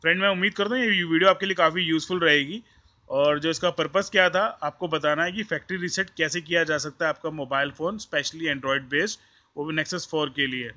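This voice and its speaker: A man's voice: on the high side, a little fast, sharp tone. A man with an Indian accent giving an informal hands-on tutorial, explaining step by step and repeating himself. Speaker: tech tutorial host. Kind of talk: informal hands-on tutorial, mixing Hindi and English.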